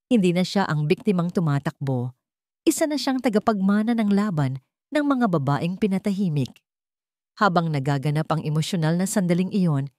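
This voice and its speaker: Woman, Filipino accent, lowish pitch, scripted dramatic storytelling, dry studio-like sound. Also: dark tone, dry recording. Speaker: story narrator.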